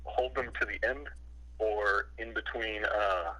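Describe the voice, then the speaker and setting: A young man talking casually, then reading aloud in a halting, self-conscious way over a voice call. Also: on the low side, fairly even intonation, bright, forceful tone, low-quality recording. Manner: hesitant, stumbling, self-conscious. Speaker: reader of creepypasta-style logs.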